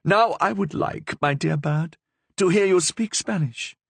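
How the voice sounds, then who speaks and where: A giddy, shrill young queen. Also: a little slow, vocal fry, husky, no room sound, exaggerated voice. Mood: commanding. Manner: shrill delighted exclamations.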